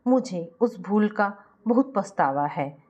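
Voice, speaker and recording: A woman's voice: medium pitch, lively intonation, slow, a little mellow, highs cut off. A woman voicing a remorseful husband, pleading and apologetic, in a family story. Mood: regretful. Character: remorseful husband pleading with his estranged wife.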